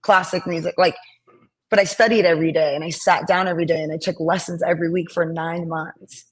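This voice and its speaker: Raspy-voiced woman musician on a call. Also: fairly low pitch, steady tone, a little fast, low-quality recording. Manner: earnest, rambling with many fillers. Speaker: musician guest. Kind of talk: casual interview chat. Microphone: laptop on a video call.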